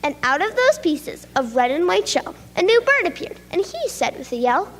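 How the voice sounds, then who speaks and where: A woman's voice: very high voice, sing-song, moderate pace, big-room sound, full character voice. A woman reading the part of a flustered schoolboy who stammers out a long, breathless excuse. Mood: anxious.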